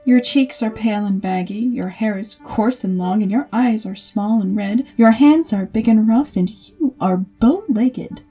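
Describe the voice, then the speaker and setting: A woman playing a haughty, shallow young rich lady in a storybook audio drama, breathy and disdainful. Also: mid-range voice, measured pace, few pauses, mellow, faint music behind. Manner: disdainful, airy.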